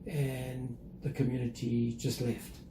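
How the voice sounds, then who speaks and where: Older South African man, very dark mellow tone, halting measured delivery, echoey room. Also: medium pitch, flat delivery, a little hiss or hum. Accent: South African English. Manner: measured, hesitant with many fillers and restarts. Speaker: guest. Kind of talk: conversational interview.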